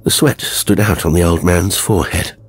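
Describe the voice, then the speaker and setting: A man narrating a horror story in a measured, grave, literary storytelling style. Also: sits low, breathy edge, soft background music. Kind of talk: scripted dramatic storytelling narration.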